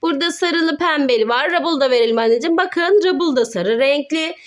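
A woman's voice: high-pitched, a little fast. A woman presenting toys in a playful way to viewers and her child, with exclamations and simple questions in child-directed talk. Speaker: mother presenting children's toy video with her son.

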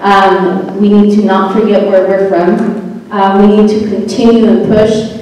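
A woman's voice: mid-range voice, little pitch movement, a little slow, darkish tone, far from the mic. Earnest woman panelist, echoey hall. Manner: earnest, repetitive calls to action. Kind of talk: panel talk.

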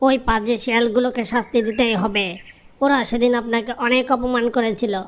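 A woman's voice: a little high, flat delivery, a little fast, lightly acted. A woman voicing a loyal, eager animal nephew, earnest and deferential, in a cartoon. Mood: eager, indignant. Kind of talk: cartoon character voice acting.

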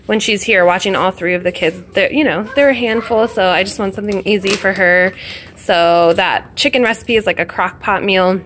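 A young woman talking casually in a home kitchen, rambling with plenty of fillers and self-deprecating asides. Her voice sits at an ordinary pitch for a woman. The phone recording carries clear background noise throughout. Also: fairly bright tone. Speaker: vlogger. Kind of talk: casual grocery haul chat.

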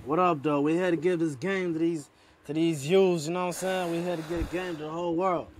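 A man's voice: a little high, fairly even intonation, brisk, slight background noise. A man in his twenties, born in Sudan and raised in Australia, talking as a guest over a video call in laid-back street slang. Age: 20s. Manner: laid-back street slang, constant "you know what I'm saying". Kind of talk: casual interview talk. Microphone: headphones on, video call.

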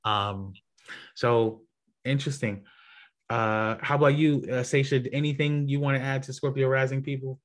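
A man's voice: medium pitch, steady tone, normal speed. A man leading an online group session on astrology, talking casually with many fillers and restarts. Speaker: astrology presenter.

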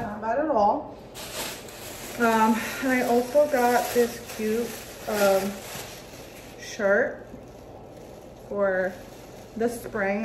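Young woman vlogger, 20s, higher voice, chatty filler-heavy delivery, phone mic at arm's length, noisy home background. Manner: chatty, rambling, lots of ums and likes. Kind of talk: casual chat to camera. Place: home living room and car. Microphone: handheld phone at arm's length.

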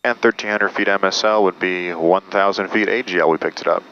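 A man's voice: sits low, steady tone, a little fast, a bit bright, muffled recording. A man piloting a small plane, narrating his approach and making radio calls in clipped checklist phrases. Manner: clipped checklist call-outs. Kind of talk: cockpit commentary and radio calls. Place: small aircraft cockpit in flight. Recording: noisy, band-limited cockpit audio.

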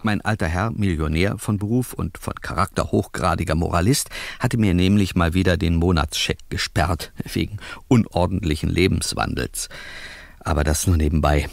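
An actor playing a wry reporter who narrates a detective radio play in the first person, tongue-in-cheek. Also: male voice, sits low, normal speed, creaky, no room sound. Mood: amused recounting. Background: music.

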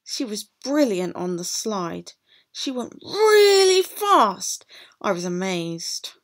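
A woman's voice: medium pitch, sing-song, takes it slowly, full character voice. A woman voicing a bored, lonely, timid young boy in a storybook, plaintive and wide-eyed. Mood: wistful, amazed. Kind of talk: storybook read-aloud.

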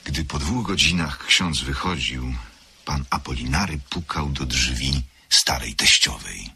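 A man's voice: very deep voice, lively intonation, measured pace, creaky, pushed and bright, slightly rough, heavily acted. A man narrating a literary radio drama of suspense as a solemn storyteller. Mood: grave, measured. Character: solemn male storyteller of a gothic tale.

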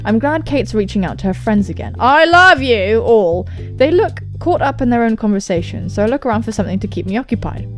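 A young British woman doing game commentary and reading aloud, excitable and sweary, exclaiming often. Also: fairly high pitch, fairly quick. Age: young adult. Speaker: gaming video host. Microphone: close studio mic. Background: music.

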